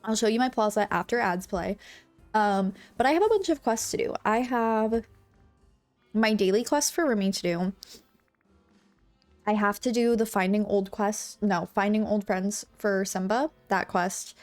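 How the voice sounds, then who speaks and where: A woman's voice: medium pitch, a little slow, darkish tone, faint music behind. A young woman streaming from home, chatting with her viewers. She sounds a bit congested and fills her talk with "like" and "um". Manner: bubbly, chatty, lots of "like" and "um". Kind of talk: casual chat with live chat.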